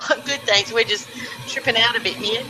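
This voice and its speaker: An Australian woman thinking aloud on a video call, full of quick exclamations. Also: a little high, sharp tone, slight background noise, rough recording. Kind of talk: casual chat.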